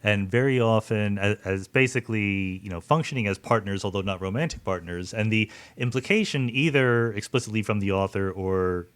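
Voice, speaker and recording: A man's voice: lowish voice, fairly quick, few pauses, a bit bright, clean tone. A man hosting a conversational interview, thinking aloud and rephrasing his questions as he goes. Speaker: podcast host, interviewer.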